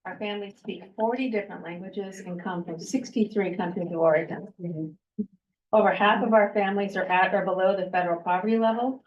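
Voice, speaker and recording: A woman's voice: medium pitch, fairly even intonation. A woman from Vermont delivers a prepared talk to a meeting room, earnest and a little hesitant with fillers. Her voice sits in a middle range. The recording carries a roomy echo and a dull, muffled tone. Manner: earnest, reads with pauses and fillers. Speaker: guest speaker. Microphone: handheld microphone, some distance. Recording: echoey room, dull lo-fi sound.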